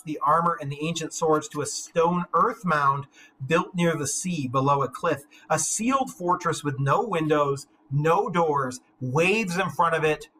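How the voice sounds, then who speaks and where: A man's voice: fairly high pitch, sharp tone, slight background noise, low-quality recording. A man teaching English literature, moving between reading aloud and informal, wry commentary.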